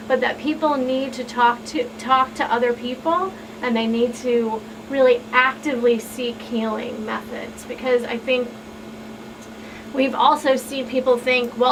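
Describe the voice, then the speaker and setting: A woman on a talk show panel explaining things earnestly, as someone who works in victim support. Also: high-pitched. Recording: distant mic, clear background noise.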